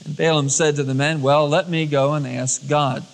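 A man's voice: on the high side, steady tone, moderate pace. A man preaching a storytelling sermon as a pastor, acting out dialogue in everyday colloquial words. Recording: long hall reverb, cassette tape transfer.

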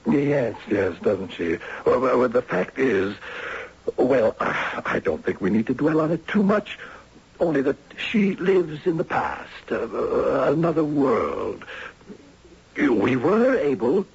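An actor playing a smooth, evasive director of an old-age home in a 1970s radio drama, fussy and over-polite, hesitating and repeating himself. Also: male voice, mid-range voice, sing-song, slow, exaggerated voice. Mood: evasive, flustered. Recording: dull vintage radio broadcast.

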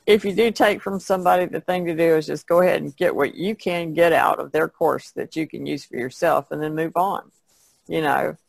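A woman's voice: sits low, fairly even intonation, brisk, gravelly, no room sound, poor audio. A woman speaking plainly and matter-of-factly as an artist in a casual podcast discussion.

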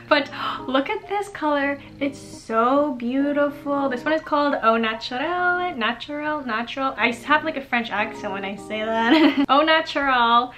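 A young woman in her twenties chatting through a makeup routine in her bedroom, bubbly and full of fillers and small laughs. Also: on the high side. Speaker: beauty vlogger. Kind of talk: casual makeup tutorial chat. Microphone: camera on a tripod at arm's length.

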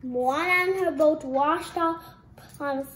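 High, slow storybook reader in echoey room. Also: fairly bright tone. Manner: careful, restarts phrases after stumbles. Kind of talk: storybook read-aloud.

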